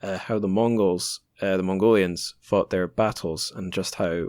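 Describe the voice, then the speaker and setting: A man's voice: low voice, steady tone, normal speed, darkish tone, no room sound, clean studio sound. A man hosting a podcast in casual interview chat, informal and a little self-deprecating. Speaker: podcast host.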